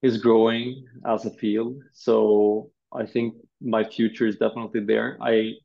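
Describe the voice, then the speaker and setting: A Swedish man in his thirties, a designer answering interview questions in a thoughtful, halting way, often repeating words. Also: fairly low pitch, little pitch movement, vocal fry, highs cut off. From Sweden.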